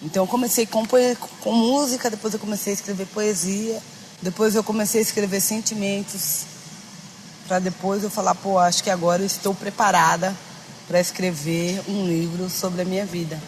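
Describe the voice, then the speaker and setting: A woman's voice: medium pitch, steady tone, moderate pace, slight background noise, poor audio. Reflective woman interviewee. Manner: reflective, restarts and repeats phrases. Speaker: interviewee, author.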